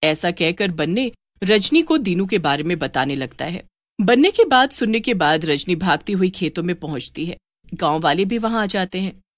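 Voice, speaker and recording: A woman narrates a scripted story. Her voice is slightly low with very lively intonation, recorded close and dry. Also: moderate pace, no room sound.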